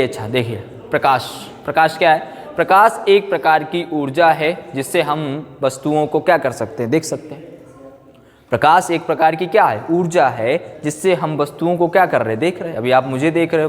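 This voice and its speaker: A man teaching a science class, repeating phrases, asking check questions and mixing in English technical terms. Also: a little high. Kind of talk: classroom physics lecture for exam students. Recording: echoey room with long reverb.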